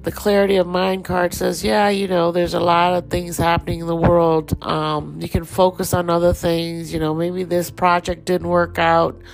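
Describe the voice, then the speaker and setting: A woman with a Thai accent giving a relaxed, rambling daily astrology forecast as host. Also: lowish voice, moderate pace, gravelly, hardly any pauses, soft background music, poor audio.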